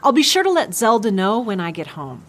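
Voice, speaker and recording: A composed intelligence director, approving and in charge. The voice sits mid-range with plenty of inflection, as in a comic audio drama. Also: female voice, mid-range voice, sing-song, fairly quick. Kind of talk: comedic audio drama acting.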